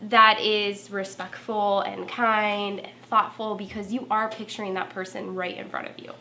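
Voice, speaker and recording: A woman's voice: mid-range voice, level tone, normal speed, bright, forceful tone, a little hiss or hum, rough recording. A young woman with a nasal voice and a slight valley-girl lilt, co-hosting a casual talk giving tips on speaking to a camera. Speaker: co-host, former TV reporter.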